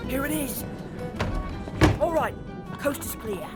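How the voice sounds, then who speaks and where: A woman's voice: high-pitched, lively intonation, loud music behind the voice. A woman playing a cocky, practical young Victorian street irregular, informal and blunt, in an audio drama. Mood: brisk, cautious. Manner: informal, brisk, bluntly critical. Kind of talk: children's audio drama acting.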